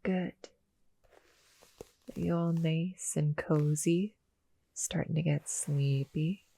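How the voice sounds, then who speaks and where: A woman voicing a small, sweet female cuddle monster in an intimate ASMR roleplay, soft and breathy, often near a whisper, gentle and a little hesitant. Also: sits low, steady tone, slow pace, full character voice, low-quality recording. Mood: soothing, hushed. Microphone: close, dry studio-style mic.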